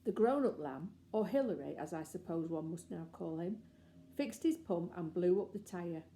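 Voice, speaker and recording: A woman's voice: lowish voice, animated, moderate pace, mellow, a little hiss or hum. A woman reading a children's storybook aloud as scripted narration. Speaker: audiobook reader.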